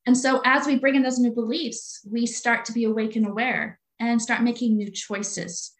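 A woman's voice: on the high side, fairly even intonation, moderate pace, poor audio. A woman in her late forties talking earnestly and from the heart as a guest in a faith-based conversation, often repeating her phrases. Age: 40s.

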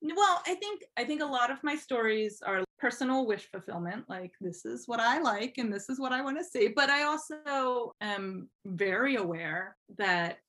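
A woman's voice: on the high side, lively intonation, normal speed, hardly any pauses, fairly bright tone. A woman speaking casually as an interview guest, chatty and self-deprecating in an informal way. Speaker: podcast guest, fan fiction writer.

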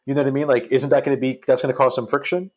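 A man's voice: mid-range voice, flat delivery, rapid-fire, a little mellow. A man in his early forties talking casually as a podcast guest, explaining his thinking and checking that listeners follow. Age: early 40s. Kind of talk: casual group chat.

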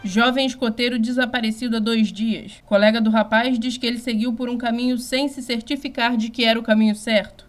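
A woman plays a serious news reporter in an audio drama. She reads a formal scripted bulletin with a Brazilian accent, in a fairly high, composed voice over faint music. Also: steady tone, brisk, few pauses, natural voice.

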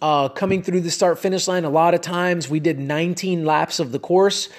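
A man's voice: fairly high pitch, fairly even intonation, normal speed. A man in his late thirties hosting a cycling podcast, talking in a casual, earnest, rambling way with many restarts and fillers. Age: 30s. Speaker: cycling podcast host and coach. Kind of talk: casual solo podcast monologue.

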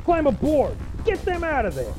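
Woman as high-pitched officer barking orders. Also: huge pitch swings, normal speed, low-quality recording. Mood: alarmed, commanding. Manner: loud, barked commands. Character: forceful, commanding military officer barking orders. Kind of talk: audio drama acting. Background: music.

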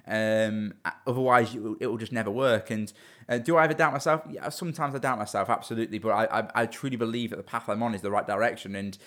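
A man's voice: on the low side, steady tone, fast, fairly bright tone. A young man in his twenties, a business owner talking earnestly in a casual interview, often restarting and repeating words.

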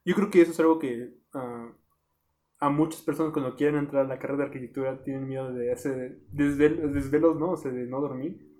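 A man's voice: medium pitch, brisk, a little hiss or hum. A young man, an architecture student reflecting casually on teamwork. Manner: relaxed, reflective, lots of fillers. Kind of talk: casual group chat.

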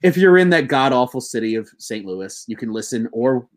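Man in his twenties, excitable, swearing, slightly buzzed, casual sports podcast host. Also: medium pitch, brisk. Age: 20s. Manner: excitable, swearing, laughing, bro-ish banter.

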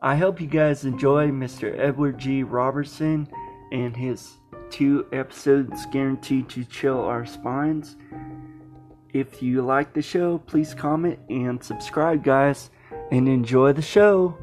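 A man's voice: medium pitch, steady tone, takes it slowly, darkish tone, faint music behind. A man giving a casual introduction as the host of a podcast.

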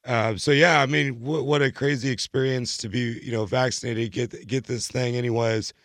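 Hoarse young American man, dry close sound. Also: medium pitch, steady tone, normal speed, fairly bright tone, dry recording. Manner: rambling, slangy. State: hoarse, recovering from illness. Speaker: podcast co-host. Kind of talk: casual chat.

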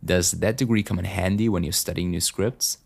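Interviewer: man, very low voice, measured and encouraging. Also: steady tone, vocal fry. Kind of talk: interview questions.

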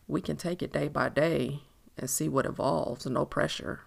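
A low-voiced, gravelly man from a romance audiobook played by a woman narrator. He is confident and tender, a smooth talker who reassures the woman he is courting. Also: fairly even intonation, normal speed, full character voice. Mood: tender, coaxing. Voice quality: low baritone.

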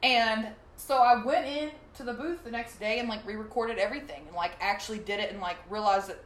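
A young woman with a low, slightly raspy voice, talking loosely as a guest on a podcast. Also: brisk, a bit bright, slight background noise. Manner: chatty, loose, lots of fillers. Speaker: guest singer and artist. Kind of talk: casual podcast chat. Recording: roomy echo.

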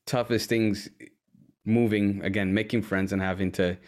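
A young man from Uganda, a musician guest, talking casually in an interview with many pauses and fillers. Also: lowish voice, fairly even intonation, darkish tone. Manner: easygoing, rambling, frequent um and uh.

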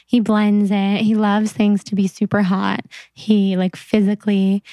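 A woman's voice: medium pitch, monotone, a little slow, mellow, no room sound. A young woman hosting a podcast in a chatty, upbeat way, voice in the middle range. She asks questions and keeps the talk moving. The sound is dry and close. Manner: chatty, upbeat interviewer with frequent backchannels. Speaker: podcast host. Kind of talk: casual interview chat.

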